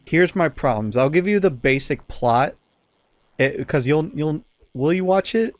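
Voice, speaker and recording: A man's voice: on the high side, animated, measured pace, no echo. A man co-hosting a casual podcast, giving blunt opinions with some swearing and often searching for words. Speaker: podcast co-host.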